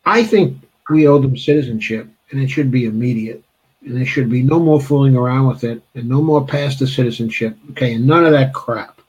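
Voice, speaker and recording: Husky, hesitant, blunt male interviewer. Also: mid-range voice, fairly quick, darkish tone, poor audio. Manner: hesitant, many fillers, then blunt and emphatic. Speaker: interviewer, host. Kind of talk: political interview.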